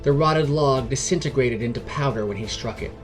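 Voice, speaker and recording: A man's voice: mid-range voice, fairly quick. A man hosting and narrating a scripted fantasy adventure podcast. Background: background music.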